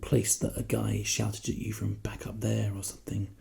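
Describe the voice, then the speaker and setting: A man doing a casual, reassuring ASMR sales role play, speaking softly and chattily into a close binaural microphone. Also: fairly low pitch, monotone, normal speed. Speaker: ASMR performer playing a personal shopper. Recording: close binaural mic, clear background hiss.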